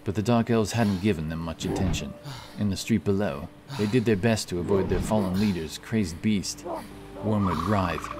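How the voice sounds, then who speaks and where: A man narrating a fantasy audiobook in a steady, dramatic storytelling manner. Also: low-pitched. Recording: with loud music behind.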